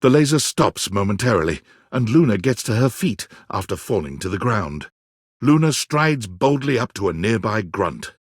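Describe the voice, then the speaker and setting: A dramatic storyteller for a sci-fi video game. His mid-pitched voice is husky and rises and falls expressively. The scripted narration is recorded close and dry. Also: very animated, normal speed, no echo. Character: male second-person narrator of a sci-fi game adventure.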